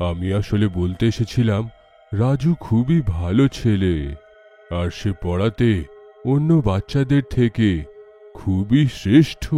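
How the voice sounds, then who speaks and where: A man playing a kindly, encouraging village schoolteacher in a story, measured and reassuring. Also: on the low side, dark tone.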